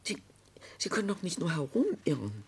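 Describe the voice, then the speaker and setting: A woman's voice: low-pitched, very animated, normal speed, darkish tone, husky, heavily acted. An actress playing an anxious, conscience-stricken woman grieving her brother in a radio play, speaking hesitantly. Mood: troubled. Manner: hesitant, troubled, theatrical.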